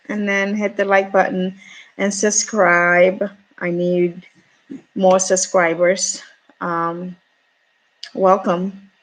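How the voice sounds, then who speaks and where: Slow-talking woman in her forties. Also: mid-range voice, lo-fi sound. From Ghana. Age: 40s. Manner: slow, hesitant, many pauses and fillers. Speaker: YouTuber. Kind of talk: casual storytelling vlog.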